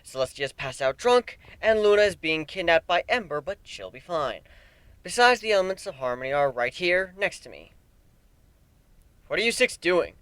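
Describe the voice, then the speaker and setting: A man narrating fan fiction as an amateur dramatic reader, theatrical and expressive. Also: a little high, moderate pace, clear, resonant tone, no echo.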